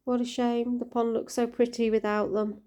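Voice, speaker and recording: Croaky-voiced woman, gentle and chatty. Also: fairly high pitch, little pitch movement, moderate pace. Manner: gentle, caring, chatty with fillers. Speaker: koi keeper vlogger. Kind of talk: casual vlog narration.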